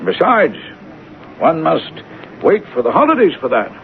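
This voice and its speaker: A man's voice: fairly high pitch, very animated, normal speed, vocal fry. An actor playing a fussy, stingy, pompous male superior in a radio drama, stammering and dithering with disdain. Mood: evasive, stingy. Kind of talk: 1970s radio drama acting. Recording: tinny, noisy old radio recording.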